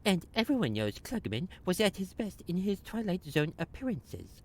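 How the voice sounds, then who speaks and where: A woman's voice: on the low side, big pitch swings, normal speed, darkish tone. A woman playing a sensible, articulate, somewhat pedantic detective partner in a comic audio drama. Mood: exasperated.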